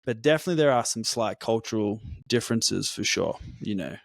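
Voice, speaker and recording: A man's voice: fairly low pitch, brisk, gravelly, dry recording. Aussie man, hybrid accent, dry close mic. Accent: Australian, Melbourne, blending toward American. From Australia. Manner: relaxed, slangy, says "like" and "yeah" often. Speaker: podcast guest. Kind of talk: casual podcast chat.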